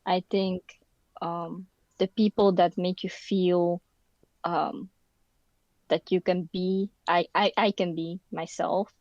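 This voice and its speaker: A woman's voice: fairly low pitch, little pitch movement, slow pace, a little mellow, no room sound, lo-fi sound. A young woman from the Philippines who grew up in the Netherlands, a podcast guest speaking casually and searching for words with many fillers. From Philippines, raised in the Netherlands. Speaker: podcast guest, university student. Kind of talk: casual conversation. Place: indoor room.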